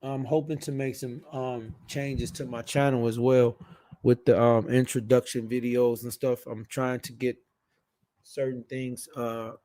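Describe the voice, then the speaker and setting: A man's voice: medium pitch, little pitch movement, unhurried, mellow. A man hosting a live stream, chatting casually and informally with his viewers, with trailing asides. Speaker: live stream show host.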